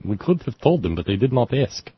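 A man's voice: mid-range voice, very animated, a little fast, slightly rough, no room sound, lo-fi sound. An older man hosting a casual talk show, telling rambling stories with a wry, chuckling manner. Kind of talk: casual call-in chat.

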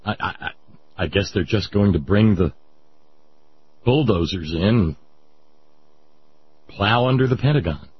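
A man hosting a talk show, giving sarcastic, rambling political commentary in a husky voice. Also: sits low, lively intonation, unhurried, pauses often, dull audio, slight background noise. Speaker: radio show host.

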